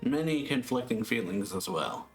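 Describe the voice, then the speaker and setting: A woman's voice: extremely low pitch, expressive, moderate pace, faint music behind. A woman giving casual, laid-back commentary as she plays a game, often in short murmured reactions. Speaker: let's play streamer.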